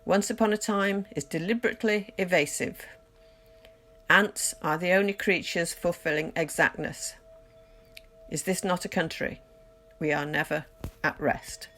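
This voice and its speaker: A woman reading poetry aloud in a measured, deliberate literary recitation, pausing between phrases. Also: fairly low pitch, fairly bright tone. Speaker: poetry reader. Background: music.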